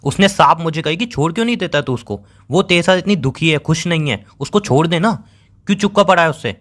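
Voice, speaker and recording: Hurt, accusing young boyfriend, somewhat high-voiced man acting. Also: expressive, quick pace, a bit bright. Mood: bitter, accusing. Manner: pleading, sarcastic, repeats phrases for emphasis. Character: hurt, accusing young boyfriend confronting his cheating girlfriend. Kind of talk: dramatized phone-call breakup argument.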